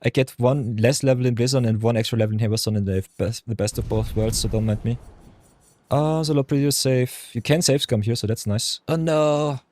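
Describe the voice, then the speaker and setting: Male game streamer, deep voice, easygoing chatter with chat, mutters to himself mid-play. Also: a little fast, darkish tone. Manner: chatty, talks to chat viewers, hums and mutters while thinking. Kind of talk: casual live-stream chat over gameplay.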